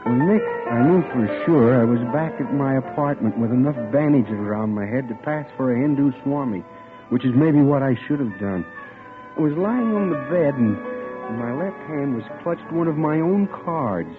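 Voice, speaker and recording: A man's voice: mid-range voice, lively intonation, moderate pace, lightly acted. A man playing a flustered bank clerk narrating. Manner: polite, flustered, stammering. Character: earnest male bank clerk hunting his missing fiancée. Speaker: narrator and lead. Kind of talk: 1940s radio acting. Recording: tinny old radio broadcast with music.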